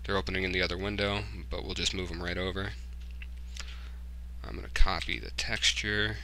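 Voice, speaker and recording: Man, very bright tone, gravelly creak, informal, thinking aloud, casual software walkthrough. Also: low voice, level tone, a little fast, a little hiss or hum. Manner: informal, muttering to himself while working. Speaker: tutorial host, hobbyist game developer.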